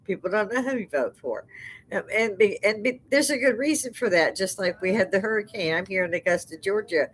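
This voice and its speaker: Older woman, loud and laughing, a live-stream host chatting at her desk mic. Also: mid-range voice, animated, fairly quick, husky, low-quality recording. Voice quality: loud speaking voice. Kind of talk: casual live-stream chat. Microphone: desk microphone.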